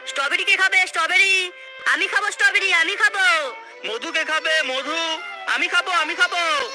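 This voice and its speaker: A man voicing an excitable, whiny little creature in a children's cartoon. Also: very high-pitched, moderate pace, music in the background. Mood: eager.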